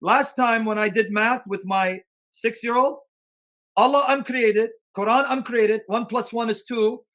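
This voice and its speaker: A man debates on a live stream with a high, bright, tense voice. He mocks, cuts in constantly and fires off accusations. His manner stays combative and scornful. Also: fairly even intonation, a little slow. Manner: mocking, interrupting, combative.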